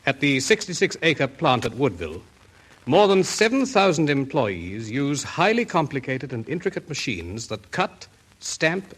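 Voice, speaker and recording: A man reading a scripted sponsor message on 1950s radio, measured, earnest and formal. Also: medium pitch, fairly bright tone. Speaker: sponsor spokesman. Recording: 1950s radio broadcast recording.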